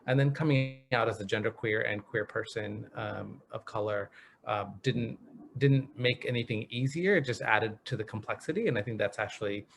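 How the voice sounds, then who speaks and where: Low gravelly thoughtful man. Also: normal speed, slight background noise. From grew up in the US Northeast. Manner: thoughtful, searching for words, frequent restarts. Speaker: panelist. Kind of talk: reflective panel talk over video. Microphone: video call.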